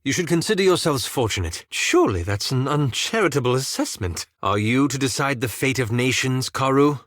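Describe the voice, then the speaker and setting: A man's voice: mid-range voice, animated, moderate pace, fairly bright tone, no echo, studio quality, natural voice. An actor playing a courteous, wry and anxious scholarly male elf companion in a fantasy video game, with formal, precise enunciation. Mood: measured.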